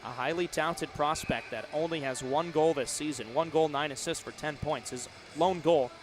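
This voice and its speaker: A young man in his twenties works as a hockey play-by-play announcer on radio. His voice sits fairly high for a man. He narrates the action live in short, vivid bursts. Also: normal speed, over music. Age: 20s. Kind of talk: live radio sports commentary.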